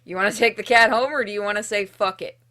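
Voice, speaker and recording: A woman reading a visual novel game's narration aloud in an expressive storytelling way, with casual, dryly humorous asides. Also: mid-range voice, fast talker. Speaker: streamer playing and narrating a game.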